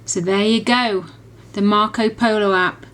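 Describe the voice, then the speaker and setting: A woman's voice: mid-range voice, fairly even intonation, a little slow, a bit bright. A woman reviewer in a casual, chatty and enthusiastic demonstration, rambling along as she explains. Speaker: app reviewer.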